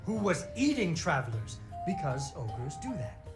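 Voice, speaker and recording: Flustered puppeteer dad, game storybook narrator, very lively pitch, mock-solemn, music clearly behind. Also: male voice, measured pace, exaggerated voice. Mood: playful storytelling. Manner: playfully dramatic, mock-solemn. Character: flustered puppeteer father telling a playful fairy tale.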